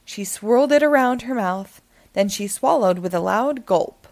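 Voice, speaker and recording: A woman reading a children's picture book aloud in an animated storytelling style. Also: mid-range voice, a little slow, vocal fry.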